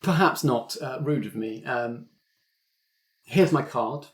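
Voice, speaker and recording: A man's voice: mid-range voice, slow pace, natural voice. A man voicing a smug, falsely polite corporate sorcerer in a suit who talks down with hesitant courtesy. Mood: oily polite.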